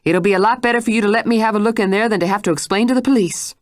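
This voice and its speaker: A woman's voice: medium pitch, very animated, normal speed, no echo, studio quality, voice pushed up, lightly acted. A woman voicing a stern, determined housekeeper who scolds and threatens. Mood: threatening. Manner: scolding, forceful.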